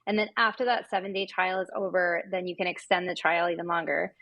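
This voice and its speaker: A woman's voice: fairly low pitch, fairly even intonation, brisk, gravelly, a bit bright, no room sound. A woman speaking as a podcast guest, explaining things casually with gushing enthusiasm. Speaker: podcast guest, wellness app representative.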